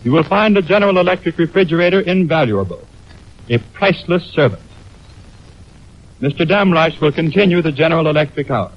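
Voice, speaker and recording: An American man announcing on 1920s radio, reading a formal script in a measured way, on a muffled, noisy old broadcast recording. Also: a little high, expressive. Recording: muffled, noisy 1929 radio aircheck on film.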